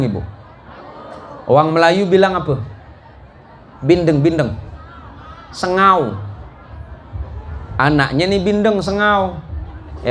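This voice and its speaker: High-voiced male preacher, folksy. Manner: jocular, folksy, asks the listeners questions. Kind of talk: sermon to a congregation. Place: mosque gathering before a live congregation. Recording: live talk with clear background noise.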